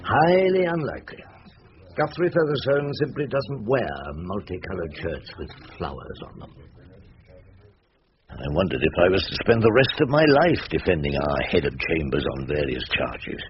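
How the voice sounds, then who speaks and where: An actor playing a sardonic older English barrister in a radio drama, with a dry, ironic, theatrical way of talking. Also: male voice, low-pitched, very animated, normal speed, lo-fi sound. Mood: dry skepticism. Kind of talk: radio drama acting and narration.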